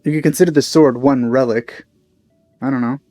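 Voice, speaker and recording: A man's voice: mid-range voice, steady tone, moderate pace, mellow, soft background music. A man giving casual let's play commentary on a game, musing and speculating aloud as he goes. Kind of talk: casual blind playthrough commentary.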